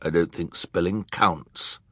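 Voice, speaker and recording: A man's voice: fairly low pitch, sing-song, measured pace, no echo, clean studio sound, pitched above the performer's own voice. A man playing a vain, self-important villain in an audiobook, pompous and grumbling. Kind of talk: audiobook character acting.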